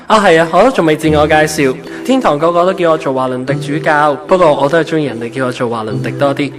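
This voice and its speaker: A man's voice: fairly high pitch, lively intonation, fast talker, close to an everyday voice. A man playing a kindly, easygoing ancient saint of love in a Hong Kong Cantonese radio drama. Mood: gentle, chatty. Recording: background music under the voice.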